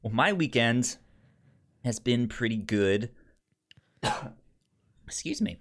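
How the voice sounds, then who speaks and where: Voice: mid-range voice, big pitch swings, slow. A podcast co-host in casual chat, rambling along and often repeating words.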